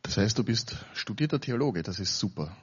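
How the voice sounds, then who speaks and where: A man's voice: lowish voice, lively intonation, moderate pace, breathy edge. An Austrian man hosting at a church, chatting colloquially as he introduces and interviews a guest. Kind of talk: informal live interview and announcement. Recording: dull, lo-fi sound.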